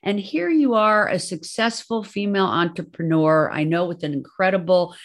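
A woman's voice: on the low side, moderate pace. A woman hosting a business podcast, talking in an upbeat, effusive way with frequent fillers. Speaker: podcast host. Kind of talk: scripted intro then interview chat.

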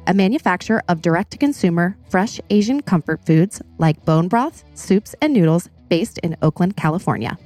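A woman's voice: lowish voice, animated, moderate pace, few pauses, mellow, soft background music. A woman co-hosting a podcast, reading the intro and asking questions in an upbeat way. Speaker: podcast co-host.

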